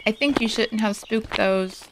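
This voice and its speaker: A woman's voice: mid-range voice, a little slow, noisy background, lightly acted. A woman playing an earnest, determined young heroine in an audio drama. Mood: observant.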